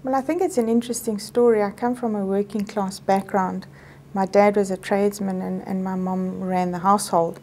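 A middle-aged South African woman, an Afrikaans speaker, answers in a measured, explanatory way. Her voice is mellow and a little gravelly, recorded in a quiet office set with faint room hiss behind. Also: mid-range voice, fairly even intonation. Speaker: medical professor. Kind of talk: interview answers. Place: office interview set, indoors.

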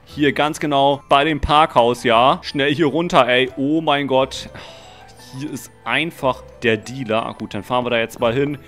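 A young man narrating a game role-play dramatically and excitedly, full of exclamations, close to the microphone. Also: medium pitch, moderate pace. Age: young adult. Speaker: gaming video host and player. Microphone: close microphone, seen. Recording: music under the voice. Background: music.

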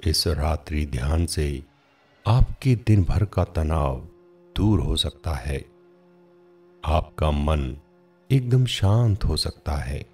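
A man narrating a scripted guided meditation in a measured, instructional manner with frequent pauses. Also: rumbling low, animated, warm tone, husky, soft background music.

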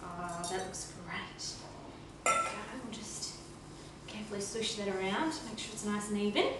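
A woman's voice: medium pitch, lively intonation, moderate pace, distant mic, heavy background noise. Chirpy woman host in an echoey kitchen. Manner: chirpy, overly careful demonstrating tone. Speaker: cooking show host. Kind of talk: mock cooking show presenting. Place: home kitchen, indoors. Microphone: camera mic from across the room.

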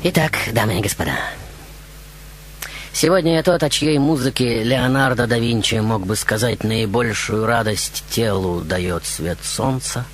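A man's voice: fairly low pitch, slight background noise. A man hosting a radio show in a theatrical monologue, with a grandiose, dramatic manner. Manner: grandiose, dramatic, with whispers and chuckles. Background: music behind the voice.

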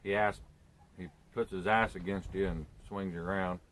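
A man in his thirties with a lowish voice, talking in plain, rambling country style. He sits outside on a sunny porch, filmed by a camera a few feet off, with a faint hiss behind him. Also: steady tone, moderate pace, a bit bright. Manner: laid-back, rambling, plain country talk. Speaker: homesteading vlogger. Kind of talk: casual chat. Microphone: camera on a tripod a few feet away.

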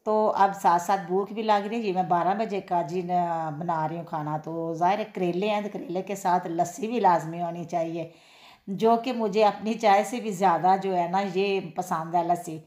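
A woman's voice: on the low side, fairly even intonation, normal speed, dark tone. A woman, chatting casually to viewers in a home vlog and repeating her favorite filler phrases. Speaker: cooking and daily-life vlogger. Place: home kitchen, then outdoor street fair. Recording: echoey room sound.

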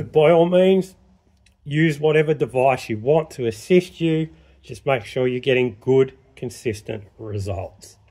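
A man's voice: medium pitch, measured pace, a little mellow. A man giving a casual, matter-of-fact workshop demonstration of filing a chain, talking plainly while he works. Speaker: chainsaw sharpening instructor. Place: workshop bench.

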